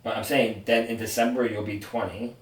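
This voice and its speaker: A man's voice: lowish voice, fairly even intonation, fairly quick. A man in his twenties co-hosting a podcast, chatting casually in a rambling, self-correcting way. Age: 20s. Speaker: podcast co-host. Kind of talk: casual sibling chat. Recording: echoey room, distant mic.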